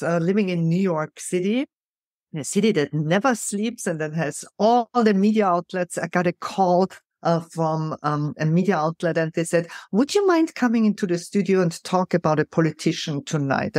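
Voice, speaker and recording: A woman's voice: on the low side, normal speed, dry recording, clean studio sound. A middle-aged woman with a mixed European accent, telling stories emphatically as an interview guest. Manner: animated, emphatic storyteller. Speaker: guest, keynote speaker. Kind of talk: storytelling interview answers.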